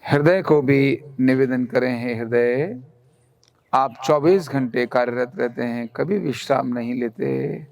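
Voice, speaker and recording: A man leading a guided relaxation meditation in a calm, soothing, instructional manner. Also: medium pitch, takes it slowly, vocal fry. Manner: calm, soothing, instructional, with gentle repeated commands.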